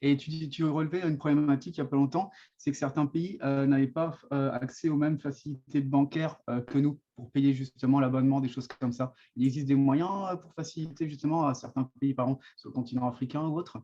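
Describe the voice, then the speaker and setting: Male questioner, muffled lo-fi audio, very quick casual delivery, conversational. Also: mid-range voice, steady tone. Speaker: participant asking questions.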